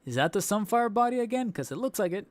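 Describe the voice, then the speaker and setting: A man's voice: sits high, expressive, fast, dry recording. A young man hosting a toy collecting livestream, losing his voice, rambling casually with restarts and laughs. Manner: rambling, self-interrupting, laughs between thoughts. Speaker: toy channel host. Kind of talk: casual livestream wrap-up chat. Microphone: studio desk mic.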